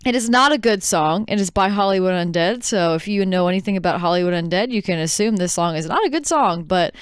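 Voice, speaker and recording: A woman's voice: fairly low pitch, animated, fast talker, clean tone, no room sound, clean studio sound. A woman co-hosting a podcast, chatting casually with the others. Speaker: podcast co-host. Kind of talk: casual chat.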